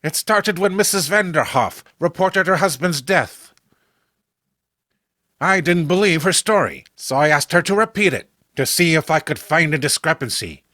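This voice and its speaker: A man's voice: a little high, lively intonation, moderate pace, sharp tone, no room sound, clean studio sound. A man playing an authoritative veteran police commissioner, recounting a case in a horror comic reading. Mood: explanatory. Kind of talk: dramatic storytelling, horror comic voice acting.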